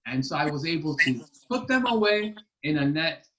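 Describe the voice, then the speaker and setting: A man voicing a clever boy storyteller who spins a tall tale with drawn-out, emphatic suspense. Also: fairly high pitch, big pitch swings, fairly bright tone, highs cut off. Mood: confident, teasing.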